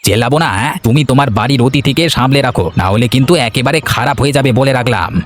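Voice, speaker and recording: A man's voice: mid-range voice, racing, soft background music, lightly acted. A man voicing a hot-headed, argumentative village husband in a cartoon. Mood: angry, confrontational. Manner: blustering, argumentative. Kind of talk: Bengali cartoon voice acting.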